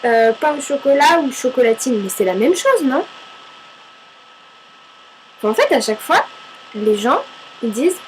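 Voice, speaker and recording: A woman's voice: sits high, big pitch swings, moderate pace, long pauses, slight background noise, rough recording. A woman from Paris chatting casually to camera as she answers viewer questions, chatty and self-mocking, swearing casually and arguing back and forth with herself. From Paris, France. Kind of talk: casual FAQ chat to camera.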